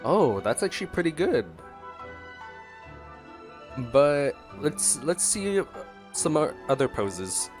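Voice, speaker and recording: A man giving casual, halting gameplay commentary as a streamer, musing aloud and reading out dialogue choices. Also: fairly high pitch, sing-song, faint music behind.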